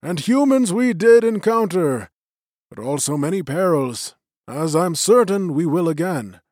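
Narrator doing an old wise magician, measured and kindly, pitched up and a bit louder than his narration, dry close sound. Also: animated, no room sound. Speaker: elder magician leading a council.

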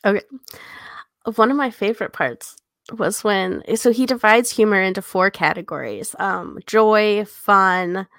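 Female podcast co-host, medium pitch, conversational and reflective, recorded dry with no room sound. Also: unhurried, a bit bright, no room sound. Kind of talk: casual book discussion, personal reflection.

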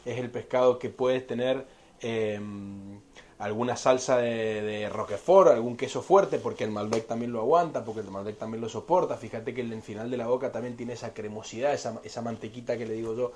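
A man's voice: medium pitch, fairly quick, darkish tone, a little hiss or hum. Argentine guy in his 30s chatting about wine, eager, lots of restarts. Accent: Argentine Spanish. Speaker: winery representative. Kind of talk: casual interview chat.

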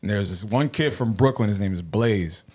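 A man co-hosting a podcast, telling stories in casual chat, often trailing off quietly. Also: moderate pace, husky. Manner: laid-back storytelling, trailing into low mumbles. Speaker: podcast co-host.

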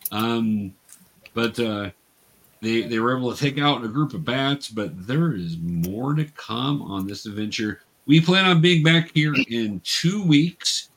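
A man hosting a tabletop game as game master, explaining things patiently and casually in a halting way. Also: medium pitch, expressive, fairly bright tone. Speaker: game master and show host. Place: remote video call.

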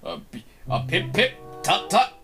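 A jolly, pompous father played by a woman hams up a mock British accent. The delivery is hearty and unhurried, bouncing up and down in pitch. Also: wildly swooping pitch, over music. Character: jolly exclaiming dad faking a posh British accent.

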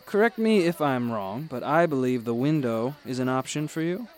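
Courteous, unflappable gentleman traveller acted by a man. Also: medium pitch, expressive, lightly acted. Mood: wry, brisk. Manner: polite, eloquent, measured. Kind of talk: radio drama acting.

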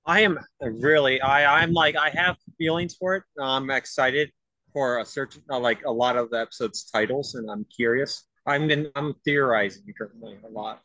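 A man's voice: medium pitch, moderate pace, sharp tone, no echo, poor audio. Young man chatting, close dry sound. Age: young adult. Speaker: podcast guest. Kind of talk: casual fan chat.

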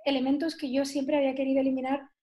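A woman's voice: sits high, level tone, fast talker, dark tone. A woman, a nutrition therapist telling her own story as an interview guest, chatty and full of fillers and tag questions. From southern Spain. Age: 40s.

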